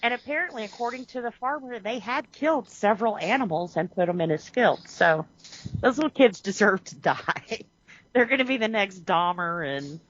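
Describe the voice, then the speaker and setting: A woman co-hosting a podcast, chatting casually about a movie, animated and quick to laugh. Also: medium pitch. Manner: animated, laughs often, acts out quotes. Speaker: podcast co-host. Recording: muffled lo-fi audio.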